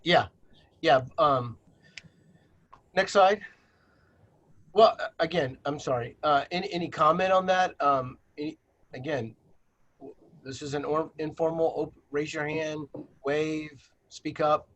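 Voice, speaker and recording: A man's voice: a little high, measured pace, pauses often, a bit bright. A man leading a virtual planning workshop on a video call, presenting slides with many fillers and restarts.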